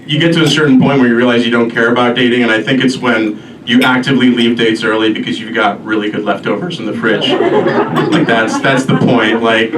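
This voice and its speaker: A man from Albania doing a self-deprecating stand-up comedy set, with a deadpan, halting delivery. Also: mid-range voice, a crowd in the background. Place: live comedy show before an audience. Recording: roomy echo, distant mic, noisy live venue.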